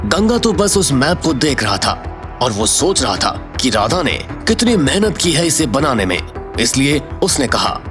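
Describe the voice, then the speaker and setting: A man's voice: mid-range voice, sing-song, moderate pace, music in the background. A man giving dramatic scripted narration as the narrator of an audio story.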